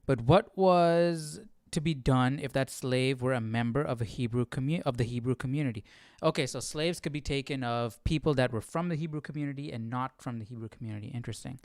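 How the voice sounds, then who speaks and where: A man's voice: medium pitch, brisk, hardly any pauses, darkish tone, dry recording. A man with a clean, resonant voice hosts an informal study session. He alternates reading aloud with questioning, off-the-cuff remarks and checks for agreement. The sound is dry and close, with little room in it. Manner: questioning, thinking aloud, often seeking agreement. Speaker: host of a livestream Bible study.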